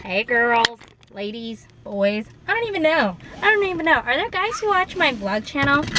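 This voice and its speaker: A woman in her twenties with a raspy voice from a fading cold, chatting casually to the camera from inside her car, rambling with lots of fillers. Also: high-pitched, very animated, normal speed. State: raspy voice while getting over an illness. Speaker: vlogger. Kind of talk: casual vlog chat. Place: inside a car at a drive-thru. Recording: car noise in the background.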